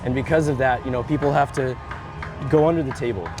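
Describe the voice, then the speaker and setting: A man's voice: mid-range voice, steady tone, fast, music in the background, crowd noise. Earnest man at a street rally. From California. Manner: earnest, plain-spoken, with frequent restarts. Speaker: protester interviewed at a rally. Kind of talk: street interview. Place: outdoors on a city street.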